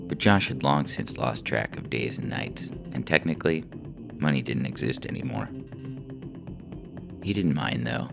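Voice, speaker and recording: A man narrating scripted comic fiction in a dry, deadpan manner. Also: a bass voice, gravelly. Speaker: story narrator. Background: music.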